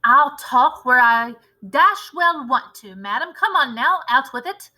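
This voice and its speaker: A woman's voice: high-pitched, expressive, a little slow, sharp tone, full character voice. A woman voicing a very large, coarse, impatient man in a storybook, brusque and pompous in manner. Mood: blustering. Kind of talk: storybook read-aloud character voice.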